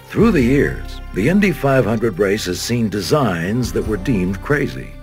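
Low-pitched American male narrator over music. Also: big pitch swings, a little slow. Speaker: documentary narrator. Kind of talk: scripted documentary narration. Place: studio voice-over. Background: background music.

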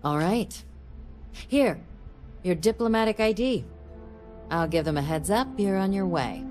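An actress playing a composed woman diplomatic official in a game, giving a briefing in a measured, formal, dry way. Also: medium pitch, a little mellow, soft background music, natural voice. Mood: businesslike. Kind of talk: scripted game dialogue, mission briefing.

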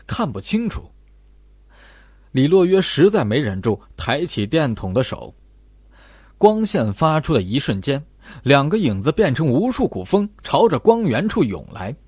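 A man reads a suspense horror audiobook in a medium-pitched, husky voice. His scripted delivery is dramatic, with wide swings in intonation, and the recording is close and dry. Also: a little mellow, dry recording. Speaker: audiobook narrator.

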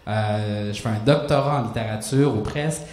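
A man's voice: fairly low pitch, normal speed, darkish tone. A man in his thirties, a native Spanish speaker, talks reflectively on a panel, searching for words and restarting phrases, into a handheld mic on a small stage. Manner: searching, restarts phrases, gestures while explaining. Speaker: author on a panel. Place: small hall stage with audience. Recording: roomy hall sound, faint noise.

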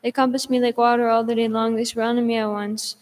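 Woman reciting psalms in a flat monotone. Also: fairly high pitch, fairly quick. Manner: steady monotone recitation with occasional restarts. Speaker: reader of liturgical psalms. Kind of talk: psalm reading in English and Greek.

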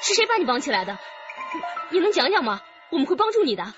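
Crime radio drama: earnest, coaxing young policewoman, high pitch, slightly dull sound. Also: big pitch swings, fast. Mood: reassuring. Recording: dull lo-fi audio with music behind.

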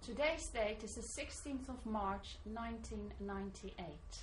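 A woman interviewer asking questions in a measured, formal way and spelling out names. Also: medium pitch, slight background noise, rough recording.

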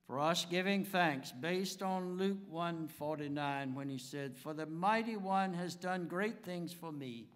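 A man in his seventies preaching and praying as a pastor, in a solemn, deliberate manner with measured phrasing. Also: a little high. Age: 70s. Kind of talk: sermon and prayer. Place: large church sanctuary, indoors. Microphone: pulpit gooseneck microphone. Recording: long church reverb.

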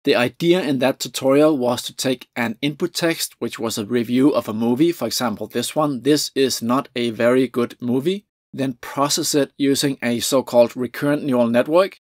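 A man with a Danish accent giving a calm, methodical coding lesson over a screen recording. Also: mid-range voice, no room sound, clean studio sound. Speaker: tutorial presenter.

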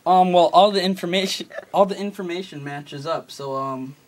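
A young American man talking casually and haltingly, as on an improvised prank phone call, recorded on a camera phone in a small room. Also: high-pitched. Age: teens or young adult. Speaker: prank caller. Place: small room at a desk, indoors. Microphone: camera phone at arm's length. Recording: noisy amateur phone video with clicks.

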